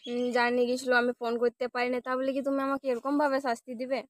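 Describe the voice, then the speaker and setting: High-pitched, plaintive young girlfriend acted by a woman. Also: little pitch movement, rapid-fire, no echo, lightly acted. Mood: indignant, hurt. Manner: plaintive, accusing, persistent questioning. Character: hurt, insistent forgotten girlfriend pleading with her boyfriend. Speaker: prank caller. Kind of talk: prank phone call in casual conversation.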